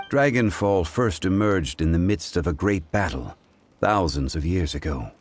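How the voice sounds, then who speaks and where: A man playing a calm, learned gentleman in a video game, speaking in a measured, formal, pensive manner. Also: sits low. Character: calm, learned, dignified gentleman consultant of ancient wisdom. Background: game music.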